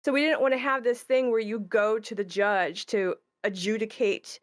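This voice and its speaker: A woman's voice: fairly high pitch, steady tone, normal speed. A woman who works as a game designer, talking conversationally about how a game was made.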